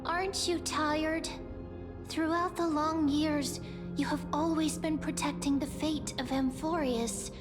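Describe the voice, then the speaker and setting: A tender young heroine with a high voice and an unhurried delivery. She sounds compassionate and earnest, like a soothing game character, with music playing behind her. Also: fairly even intonation. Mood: gentle, earnest. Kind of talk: video game voice acting.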